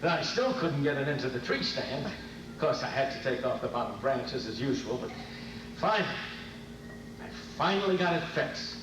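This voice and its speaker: A man's voice: medium pitch, animated, moderate pace, lightly acted. A man playing a grumbling, put-upon husband who protests, in old-time radio comedy style on stage. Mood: weary, complaining. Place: convention stage before an audience. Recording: echoey noisy hall, distant microphone.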